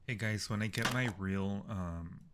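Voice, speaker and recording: A man with a lowish voice gives casual livestream commentary on a horror game. He talks slowly, often muttering tasks to himself, with clear noise behind him.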